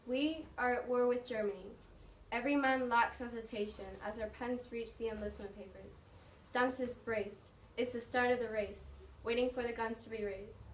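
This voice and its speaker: Proud English mother turned postwoman acted by a woman, recital delivery, higher voice, distant mic. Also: steady tone, moderate pace, hardly any pauses, close to an everyday voice. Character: thoughtful, proud mother working as wartime postwoman. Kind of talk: dramatic slam poetry recital. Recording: noisy, echoey room, mic far off.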